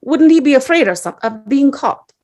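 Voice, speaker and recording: A woman playing a polite, careful male juror who speaks in a deliberate, probing way at a dramatic table read. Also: high-pitched, expressive, a little mellow. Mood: thoughtful.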